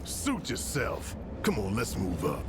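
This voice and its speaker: A man playing a gruff, brash rebel leader in a video game, blunt and commanding. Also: fairly low pitch, wildly swooping pitch, unhurried, very noisy. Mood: scornful.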